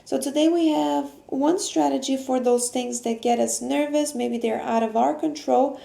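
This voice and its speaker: A woman teacher explaining things casually to children in a halting way, pausing mid-sentence. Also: high-pitched, steady tone, gravelly, dark tone. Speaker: teacher hosting a video lesson. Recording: roomy echo.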